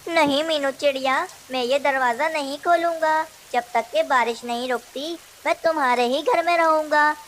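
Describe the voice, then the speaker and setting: A sly, lazy cartoon crow acted by a woman speaks in a high voice. He is a brazen male schemer, always out to trick the other birds. A faint hiss sits behind the voice. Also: moderate pace, hardly any pauses, a bit bright. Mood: brazen, dismissive. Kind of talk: Hindi children's cartoon dialogue.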